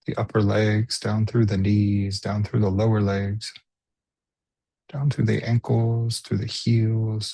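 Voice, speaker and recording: A man guides a body scan in a lowish, breathy-husky voice. He speaks gently, leaving room between phrases like an unhurried meditation teacher. The sound is dry, with very little room around it. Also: no room sound.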